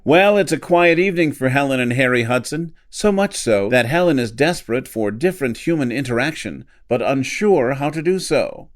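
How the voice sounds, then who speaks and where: A man's voice: mid-range voice, animated, moderate pace, hardly any pauses. A man reading scripted announcements and narration for an audio drama.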